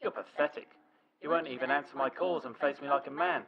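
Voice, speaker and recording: A man's voice: mid-range voice, fairly quick, creaky. A man playing a furious, domineering middle-aged father, scolding and threatening his son in a radio drama voicemail. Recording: dull lo-fi voicemail with beep.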